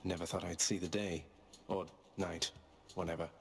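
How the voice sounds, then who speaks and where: An actor playing a self-assured young prince in a video game, speaking in a dry, understated, sardonic way. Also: male voice, low voice, level tone, moderate pace, creaky. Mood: determined, dry. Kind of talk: video game character acting.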